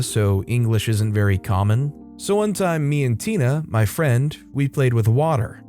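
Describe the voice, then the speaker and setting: A young man casually narrating online stories, adding his own wry commentary between them. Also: medium pitch. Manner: chatty, wry, animated asides. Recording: faint music behind.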